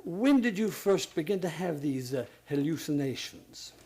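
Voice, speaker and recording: Actor as hospital psychiatrist, man, highish voice, swooping intonation, probing, clinical, insistent, radio drama. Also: expressive, normal speed, a little mellow, husky. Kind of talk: radio play acting.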